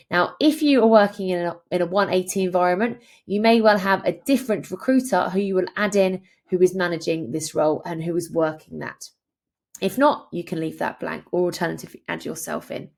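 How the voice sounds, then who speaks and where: A woman in her thirties presenting a software tutorial as a conversational, step-by-step walkthrough. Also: lowish voice, vocal fry.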